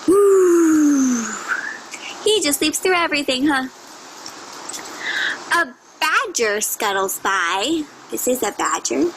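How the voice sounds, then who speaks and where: A woman's voice: sits high, animated, slight background noise, poor audio. A woman reading a picture book aloud to young children, with exaggerated storytelling and simple questions to her listeners. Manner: exaggerated, drawn-out storytelling delivery with child-directed asides.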